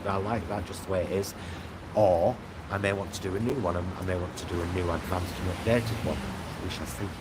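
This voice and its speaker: A man with a notably low voice speaks in a casual vlog monologue. He is reflective and halting, feeling his way through each thought and checking it with small tag questions. Loud vehicle noise and ambience surround him as he talks. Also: fairly even intonation. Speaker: vlogger. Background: loud vehicle rumble and ambience.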